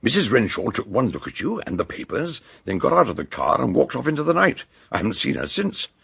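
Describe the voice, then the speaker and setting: An actor playing an eccentric, wordy older British detective doctor in a radio drama, genial and courteous, with a gravelly, husky voice. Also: male voice, mid-range voice, very animated, a bit bright, no echo, clean studio sound, full character voice. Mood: explanatory. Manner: wordy, deliberate, old-fashioned courtesy.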